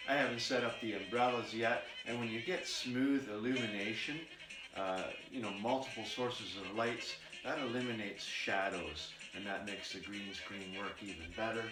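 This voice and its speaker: Male vlogger, lowish bright voice, deliberate casual delivery, recorded off-mic in a roomy space. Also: steady tone, over music. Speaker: vlogger, radio show performer. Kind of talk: casual unboxing commentary to camera. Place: room in his house. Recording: roomy echo, distant mic, faint noise.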